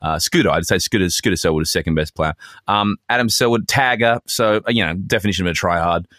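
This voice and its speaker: A man with an Australian accent and a lowish voice, recorded dry with very little room sound. He talks casually as a podcast co-host, making wry remarks about sport. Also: fast, fairly bright tone, no room sound.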